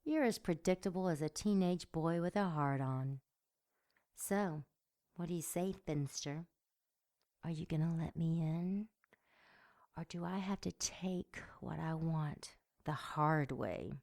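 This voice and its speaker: A woman's voice: deep voice, slow, a little mellow. A woman playing a seductive, domineering demon in an audio drama, with a sultry, mocking delivery. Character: seductive, sadistic female demon, taunting and domineering.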